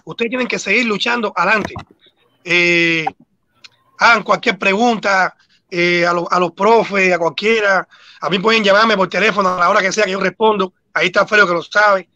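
Earnest Cuban coach, Cuban accent, high-pitched and very bright, close dry mic. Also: male voice, fairly even intonation, moderate pace, no echo, dull audio. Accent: Cuban Spanish. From Cuba. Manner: earnest, emphatic, repeats himself. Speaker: boxing coach, guest. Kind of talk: panel discussion on a video call.

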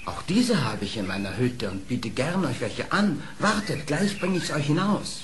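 An actor playing a sly, cruel fox trickster in a radio play, speaking smoothly and with self-assurance. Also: male voice, medium pitch, very animated, normal speed, noisy background, close to an everyday voice. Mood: smooth, scheming. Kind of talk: German radio play acting.

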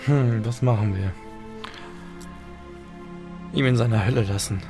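A man's voice: medium pitch, unhurried, over music. A man giving casual let's play commentary in a laid-back, musing manner.

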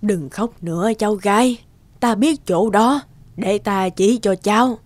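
A woman voicing a kindly, soothing old granny in a cartoon fairy tale, speaking slowly and gently. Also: medium pitch, no room sound, lightly acted. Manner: slow, gentle.

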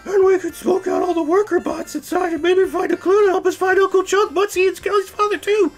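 A man voicing an earnest little boy fox in a squeaky falsetto for a storybook. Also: steady tone, a little fast, soft background music. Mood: eager. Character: eager, timid little two-tailed boy fox.

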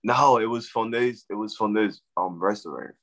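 A young man from Liberia with a Liberian accent, talking casually as a guest on a video call, halting and often repeating his words. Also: fairly low pitch, fairly bright tone. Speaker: guest, soccer player. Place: room at home. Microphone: webcam on video call.

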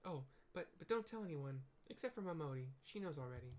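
A man's voice: on the high side, lively intonation, fast, natural voice. A man voicing an earnest, cheery young female adventurer recruiter in a fantasy game, with formal, eager phrasing. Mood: earnest, inviting.

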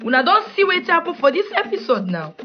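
A woman with a Nigerian accent presenting a scripted radio drama outro in an animated style. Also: very high voice, fast, muffled recording, music in the background.

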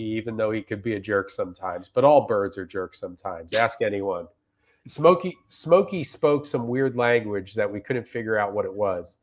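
A man in casual comic chat and reading reviews aloud, rambling and deadpan, with some swearing, on a video call. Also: fairly low pitch. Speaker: comedian guest. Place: indoors on a group video call.

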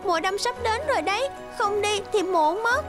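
Sensible cartoon swan voiced by a woman, high and earnest, urging the flock, music bed. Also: animated, moderate pace. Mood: worried warning. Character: concerned, sensible migrating swan flock member.

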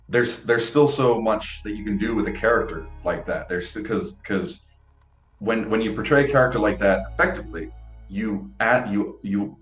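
A young man hosts a video-call interview, eager and rambling, tripping over his restarts. His voice sits a bit low and bounces around an echoey room, with faint music under it. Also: fairly bright tone. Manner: enthusiastic, rambling, many restarts. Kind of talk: casual interview chat. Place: small room, indoors. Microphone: video call, earbuds.

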